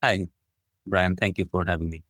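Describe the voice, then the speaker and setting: A man's voice: low voice, fairly even intonation, normal speed, a bit bright, dry recording, studio quality. A middle-aged man from Bangladesh with a Bangladeshi accent, earnestly telling his story as an interview guest in a conversational way. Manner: earnest, appreciative, frequent "like, you know". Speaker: podcast guest, business school dean.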